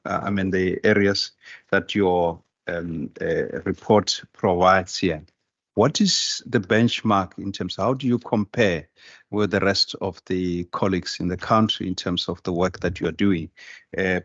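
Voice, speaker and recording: Very deep-voiced man at a hearing. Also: fairly bright tone, no echo, lo-fi sound. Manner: polite, hesitant with fillers. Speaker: committee member. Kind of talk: formal questioning at a hearing.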